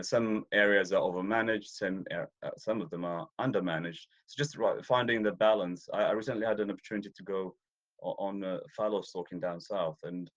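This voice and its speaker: A man from Ethiopia, a deer stalker as interview guest, talking casually with many fillers and restarts. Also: deep voice. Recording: dull lo-fi audio.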